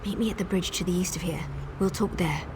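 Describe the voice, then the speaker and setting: A woman playing a pragmatic, secretive female wood elf who gives measured instructions as quest dialogue in a video game. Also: fairly low pitch, fairly even intonation, natural voice. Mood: guarded, instructing. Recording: game dialogue over clear background noise.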